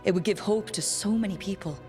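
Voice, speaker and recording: An actress playing a steady, supportive adventurer leader in a video game, reassuring and gently probing. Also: female voice, mid-range voice, brisk, faint music behind, lightly acted. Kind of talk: video game cutscene acting.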